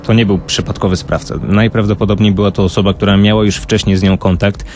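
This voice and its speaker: Low-pitched man recounting crimes, measured. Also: little pitch movement, darkish tone, slight background noise. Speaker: true-crime expert guest, podcaster. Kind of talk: radio discussion recounting crime cases.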